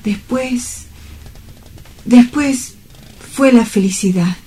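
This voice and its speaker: A woman's voice: fairly high pitch, drawn-out words, dark tone, slightly rough, exaggerated voice. A woman playing a dreamy, lovelorn young male bank clerk in a radio drama, with wistful, poetic, earnest delivery. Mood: rapturous. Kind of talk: dramatic radio-play monologue.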